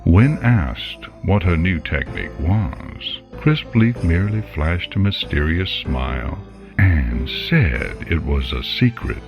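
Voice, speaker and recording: A man giving a measured, ominous, dramatic narration of a scripted story. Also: deep voice, animated, gravelly. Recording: dull lo-fi sound, loud music behind. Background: music.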